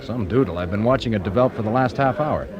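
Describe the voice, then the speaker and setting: A man's voice: on the low side, fast, muffled recording, crowd noise, close to an everyday voice. An actor playing a wry, friendly young male student, teasing and breezy, in a radio drama. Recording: noisy busy background ambience.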